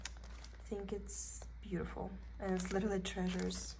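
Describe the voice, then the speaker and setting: A young woman with a Russian accent chats her way through a craft haul, meandering and thinking aloud as she goes. Her voice sits at an ordinary middle pitch, and a faint hiss runs beneath it. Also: flat delivery, unhurried, creaky. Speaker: cross-stitch vlogger. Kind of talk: casual show-and-tell haul. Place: indoors at a table.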